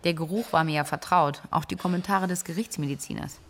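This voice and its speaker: A woman's voice: on the low side, fast talker. An actress playing a composed, clinically precise medical woman, recounting events interview-style in a radio drama.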